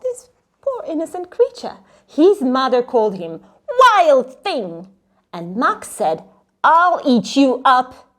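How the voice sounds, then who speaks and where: A middle-aged woman hosting a children's read-along, telling stories in an expressive, dramatic way that sometimes drops into a hush. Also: high voice, slow pace. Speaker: host of a children's read-along and craft show. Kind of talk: animated storytelling for children.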